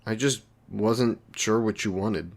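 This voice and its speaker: A man's voice: on the low side, takes it slowly. A man playing an awkward, lonely, considerate villain in an intimate roleplay drama, speaking in a hesitant, apologetic, polite way. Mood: eager, awkward.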